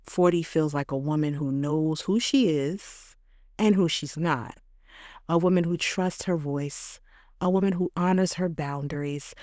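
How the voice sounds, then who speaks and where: Slow, measured woman in her late thirties. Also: sits low, darkish tone, no echo, clean studio sound. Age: late 30s. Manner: slow, measured, affirming delivery in short phrases. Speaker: podcast host. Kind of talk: reflective scripted monologue.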